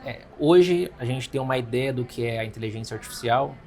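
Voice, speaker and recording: A man's voice: mid-range voice, fairly quick, creaky, a little mellow, soft background music. A Brazilian man hosting a casual interview, asking long, winding questions and affirming often. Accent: Brazilian Portuguese. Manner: rambling questions with frequent affirmations. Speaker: interviewer, host.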